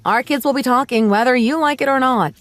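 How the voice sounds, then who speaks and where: A woman's voice: high voice, a little fast, a bit bright, no echo, soft background music. Woman doing a sensible 30s mum for radio drama, polite but fed up, dry recording. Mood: reasonable. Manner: polite, reasonable, mildly exasperated. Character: put-upon but firm, sensible mother in her late thirties.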